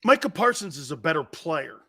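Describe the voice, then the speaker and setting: A man's voice: on the high side, big pitch swings, brisk, bright, forceful tone, breathy edge. A middle-aged man hosting a live sports talk show, joking and rambling, laughing often as he talks. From Italian-American family.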